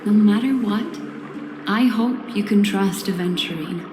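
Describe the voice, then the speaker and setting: A woman voicing a composed, enigmatic female memory-keeper in a video game, speaking in formal, deliberate, protective phrases. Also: mid-range voice, noisy background, lightly acted. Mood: earnest, resolute. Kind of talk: scripted video game character dialogue. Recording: roomy echo, loud background ambience.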